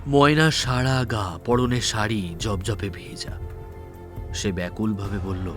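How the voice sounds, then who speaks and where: A man narrates an audio story in a scripted, dramatic style. His voice is on the low side. Faint music plays beneath him. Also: fairly even intonation, slow pace, a bit bright. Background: faint background music.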